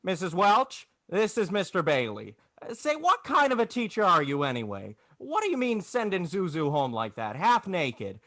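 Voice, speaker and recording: An actor playing an agitated small-town family man in 1940s radio drama, halting and stammering as he talks. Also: sits high, wildly swooping pitch, pushed and bright, dry recording, studio quality, heavily acted. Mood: irritable, frantic. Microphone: close, dry video-call microphone.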